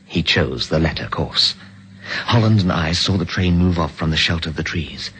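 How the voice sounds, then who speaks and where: An actor playing a resolute hero who also narrates, speaking in a clipped, commanding way in a 1950s radio drama. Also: male voice, low voice, moderate pace, a bit bright, slight background noise. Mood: narrating. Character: resolute male hero and first-person narrator.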